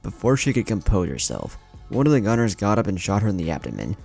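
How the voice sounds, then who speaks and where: Male story narrator, deep and creaky, with lively pitch swings. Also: fast, soft background music. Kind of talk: scripted fan fiction narration.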